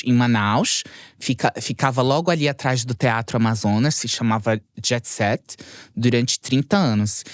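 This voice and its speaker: A man who is not a native speaker, a sexologist guest telling stories in an animated, jokey way in a casual podcast interview. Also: a little high, dry recording, clean studio sound. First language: not Portuguese.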